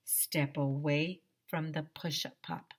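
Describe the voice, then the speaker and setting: A woman voicing a bullying male doctor villain in a storybook, shouting loud commands at his goons. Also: deep voice, steady tone, slow pace, heavily acted. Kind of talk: storybook character voice.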